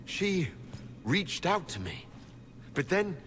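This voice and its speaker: A man playing a bewildered, earnest and defensive prisoner in a fantasy game, speaking haltingly. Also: high-pitched, big pitch swings, a little hiss or hum, heavily acted. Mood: dazed. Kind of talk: dramatic fantasy game acting. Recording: loud game music behind the voice.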